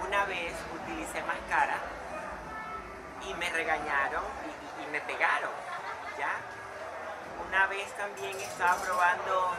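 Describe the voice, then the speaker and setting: A man from Colombia, giving a reflective, halting personal testimony as an interviewee, often repeating words. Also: high voice. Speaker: documentary interviewee. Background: loud ambient noise.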